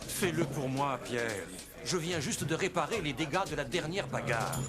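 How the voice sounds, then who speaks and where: An actor playing an anxious, pleading tavern keeper in a French cartoon. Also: male voice, fairly high pitch, moderate pace, natural voice. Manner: pleading, excitable. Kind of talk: French animated series dubbing. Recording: loud music under the voice.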